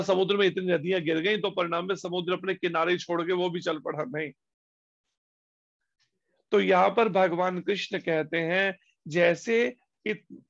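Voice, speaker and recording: A man giving a devotional discourse as a spiritual teacher, slowing down on key words and asking rhetorical questions. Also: high voice, vocal fry. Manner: slow, deliberate emphasis with pauses on key words. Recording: dull lo-fi recording.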